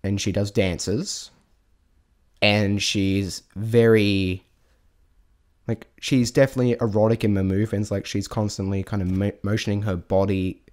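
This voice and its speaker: A man's voice: fairly low pitch, steady tone, measured pace, a little mellow. A man giving an unscripted spoken review in a rambling, hesitant way, often stammering and breaking off mid-thought. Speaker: amateur reviewer sharing opinions.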